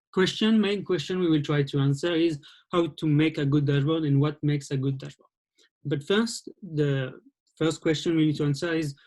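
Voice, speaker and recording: A man from France with a French accent, giving a presentation over a video call and talking in a halting way with frequent restarts. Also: on the high side, a little mellow. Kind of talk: webinar presentation.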